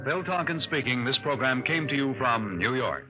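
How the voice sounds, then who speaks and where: A man announcing for a network radio show in a crisp, formal, promotional manner. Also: mid-range voice, expressive, moderate pace. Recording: muffled 1950s radio broadcast with music.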